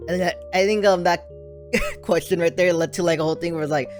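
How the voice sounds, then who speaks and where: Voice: mid-range voice, moderate pace, soft background music. A stream host making casual chat, chatty and giggly, asking teasing questions.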